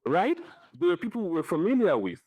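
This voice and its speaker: A middle-aged man with a Nigerian accent giving opinions in a podcast interview, speaking deliberately and repeating phrases for emphasis. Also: high voice, wildly swooping pitch, no echo, narrow, tinny audio. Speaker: interview guest, film director and writer.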